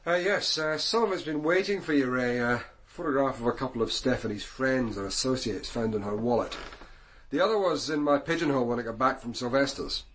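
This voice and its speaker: Man playing Scottish detective boss, mid-pitched, measured, dryly authoritative, radio drama. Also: fairly bright tone, a little hiss or hum, lightly acted. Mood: measured, grim. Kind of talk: naturalistic radio crime drama acting.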